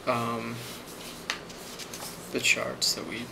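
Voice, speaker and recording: A man playing a friendly, rambling office boss in an ASMR role play, speaking softly and hesitantly with a reassuring manner and a creaky voice. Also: medium pitch, monotone, extremely slow, full character voice. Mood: calm, reassuring. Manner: soft-spoken, hesitant, reassuring. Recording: loud constant background noise.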